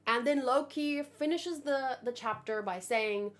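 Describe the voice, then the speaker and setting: Slow-paced, higher-voiced woman chatting casually. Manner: expressive, thinking aloud, chatty. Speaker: manga read-along video host. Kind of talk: casual reaction commentary with read-aloud dialogue.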